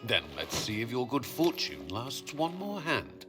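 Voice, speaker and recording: A man playing a dutiful military commander with dry humour in a video game, talking in a measured, formal way. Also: mid-range voice, wildly swooping pitch, over music. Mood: competitive, stubborn. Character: dutiful, dryly humorous male military commander. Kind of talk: video game cutscene acting.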